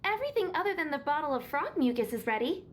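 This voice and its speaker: A woman's voice: high voice, very animated, quick pace, slight background noise. A woman voicing a friendly, obliging young shopkeeper in a video game. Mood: welcoming, obliging. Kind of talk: animated game voice acting.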